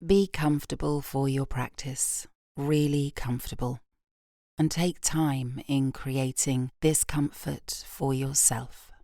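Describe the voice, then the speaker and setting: A British woman guiding a yoga nidra meditation in a soft, gentle, unhurried voice with long pauses, breathy at phrase ends. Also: a bass voice, fairly even intonation, no room sound, clean studio sound. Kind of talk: guided meditation, slow and soothing.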